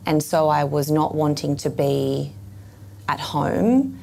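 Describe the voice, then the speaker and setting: A woman in her thirties with a croaky voice, reflecting thoughtfully on her past. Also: low-pitched, slow, darkish tone. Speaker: guest. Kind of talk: reflective conversation.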